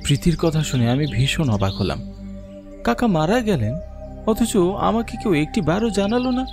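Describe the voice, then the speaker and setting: A man's voice: a little high, huge pitch swings, normal speed, music in the background. A man voicing a young schoolmaster in a horror cartoon who also narrates the story, with an earnest, anxious manner. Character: young adult male schoolmaster, earnest nephew.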